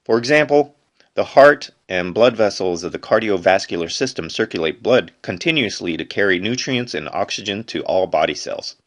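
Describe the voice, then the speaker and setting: Guy with a kinda low voice reading study material out loud, careful phrasing, unedited. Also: lively intonation. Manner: deliberate phrasing, reads headings aloud, stumbles and corrects himself. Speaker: amateur reader of a textbook. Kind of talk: unedited educational read-aloud.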